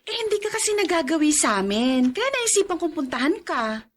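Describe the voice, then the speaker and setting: Very high, lively gossipy friend. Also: female voice, a little fast, slight background noise. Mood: curious. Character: inquisitive, excitable female friend visiting. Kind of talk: radio drama acting.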